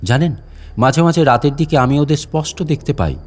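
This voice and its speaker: A man's voice: on the high side, expressive, fairly quick. A man playing an aging, deferential guide at a lonely fort, telling a dramatic story in a conversational, insinuating way. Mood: confiding, eerie. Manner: conversational, insinuating, theatrical with rhetorical questions. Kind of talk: dramatic first-person audio story narration in Bengali storytelling.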